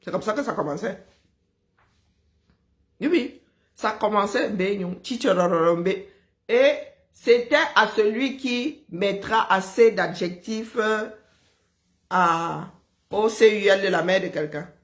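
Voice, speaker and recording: A woman from Cameroon with a Cameroonian French accent, chatting casually and telling lively stories, often breaking into laughter. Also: mid-range voice, slow pace, pauses often. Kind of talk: casual chat.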